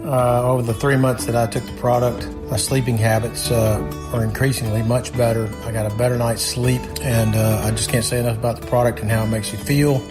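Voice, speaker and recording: A man's voice: mid-range voice, flat delivery, brisk, hardly any pauses, music in the background. A man giving an unpolished, hesitant customer testimonial for a radio advertisement. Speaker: product testimonial giver.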